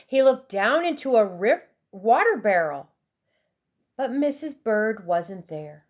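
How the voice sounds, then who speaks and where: Female children's storybook reader: high voice, unhurried, expressive, deliberate dramatic emphasis. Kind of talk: expressive children's read-aloud narration.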